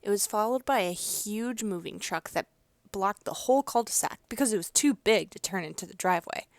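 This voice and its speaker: A woman's voice: medium pitch, lively intonation, fairly quick, dry recording. A woman narrating a children's picture book as a storyteller, reading expressively. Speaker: read-aloud storyteller.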